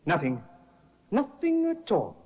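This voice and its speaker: A shrewd courtly barrister. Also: male voice, sits high, wildly swooping pitch, husky, full character voice. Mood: cross-examining. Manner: measured, probing, courtly. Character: shrewd, polished male defense barrister, middle-aged. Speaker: defense barrister. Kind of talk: 1950s radio drama acting. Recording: dull lo-fi old radio broadcast.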